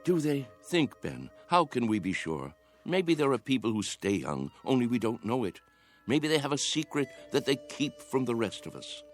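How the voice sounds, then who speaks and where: A man's voice: mid-range voice, very animated, normal speed, faint music behind. Wistful, dreamy old man. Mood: searching, musing. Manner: earnest, musing, insistent. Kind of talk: radio drama acting.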